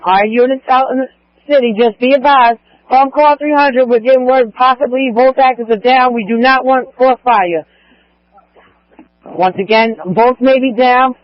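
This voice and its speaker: A man's voice: very high-pitched, fairly even intonation, normal speed, no echo, dull audio. A man working as a police radio dispatcher, relaying and repeating orders to units over a scanner.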